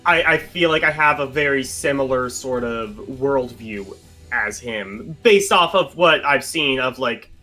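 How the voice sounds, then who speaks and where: A man's voice: medium pitch, a little slow, sharp tone, faint music behind. A man in casual chat, swearing freely and restarting his sentences often.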